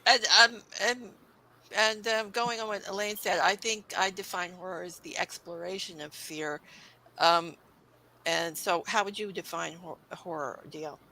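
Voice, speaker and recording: A woman's voice: fairly low pitch, steady tone, unhurried, bright, forceful tone, low-quality recording. A woman hosting a horror panel discussion, asking questions and laughing easily. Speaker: host, moderator.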